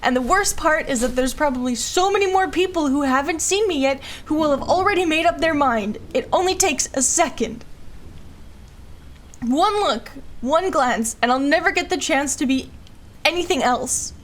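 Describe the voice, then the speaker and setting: A woman's voice: sits high, expressive, moderate pace, a little hiss or hum. A woman playing a hurting, self-loathing but defiant young outcast daughter in an audio roleplay, speaking in an emotional, pleading way. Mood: hurt, despairing. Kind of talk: naturalistic audio roleplay acting.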